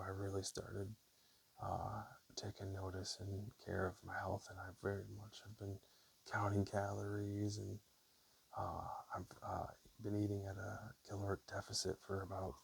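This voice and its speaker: A man speaking softly and casually in an ASMR style, sometimes whispering, with a hesitant, unhurried, low gravelly murmur. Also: low-quality recording. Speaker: ASMR creator. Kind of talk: ASMR, soft-spoken and whispered personal talk. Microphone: camera or basic mic, spoken close. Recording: faint hiss on amateur mic.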